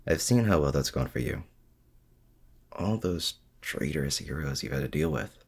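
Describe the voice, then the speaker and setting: A man acts out a supervillain in an intimate roleplay audio drama, with a very low voice full of gravel. He is a feared crook with hidden self-doubt, talking to his heroic rival in a casual, halting, confiding way. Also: animated, full character voice. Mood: wry, confiding. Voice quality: very deep, gravelly.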